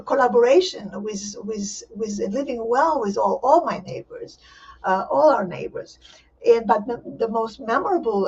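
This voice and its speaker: An older woman who grew up in Israel, recalling her early life in a halting way, with many restarts. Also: fairly high pitch, breathy edge. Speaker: poet and professor, interview guest. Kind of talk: virtual interview, personal recollection. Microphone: video call. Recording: distant, muffled video call audio.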